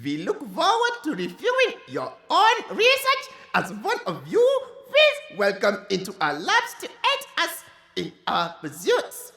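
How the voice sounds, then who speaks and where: A grandiose head of a laboratory in a radio play. The voice is high and bright, swinging dramatically in pitch. Every line is lofty and dismissive. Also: female voice, huge pitch swings, unhurried, full character voice. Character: imperious, grandiose scientist leading a research lab. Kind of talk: live radio drama acting.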